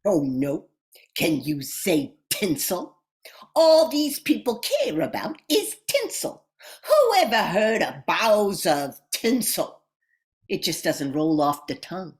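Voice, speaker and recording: A woman's voice: lowish voice, big pitch swings, slow pace. A woman playing a jaded, wisecracking sprig of holly who gripes in slangy style in an amateur radio comedy. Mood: indignant. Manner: griping, slangy, sardonic. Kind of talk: amateur old-time radio comedy acting.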